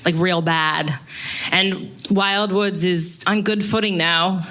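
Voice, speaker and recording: A woman's voice: lowish voice, fairly even intonation, takes it slowly, pushed and bright. An actress as a brusque, sardonic animal rescuer woman. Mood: gruff fondness. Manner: blunt, dry, bossy. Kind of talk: live staged radio play acting. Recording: live theater recording with some noise.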